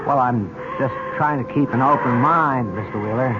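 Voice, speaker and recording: An actor in a 1970s radio mystery playing a cautious police lieutenant with a measured, matter-of-fact manner. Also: male voice, mid-range voice, heavy background noise, lightly acted. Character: cautious, open-minded male police lieutenant. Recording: dull old radio recording with music.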